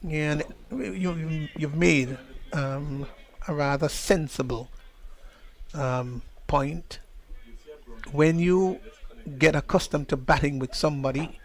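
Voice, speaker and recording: Excited Caribbean man commentating cricket. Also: on the high side. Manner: chatty, measured, rises sharply on exciting plays. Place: commentary position outdoors at a cricket ground.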